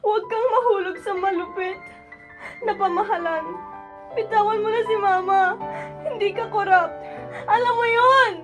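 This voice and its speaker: A woman's voice: very high voice, moderate pace, long pauses, over music. A woman playing an earnest, pleading young daughter of a corrupt mayor in an amateur radio drama. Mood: tearful pleading.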